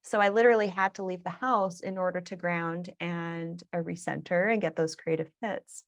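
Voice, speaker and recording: Reflective woman giving gentle advice. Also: fairly low pitch, fairly even intonation, moderate pace. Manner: reflective and advice-giving, with soft laughs between points. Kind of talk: casual conversational interview.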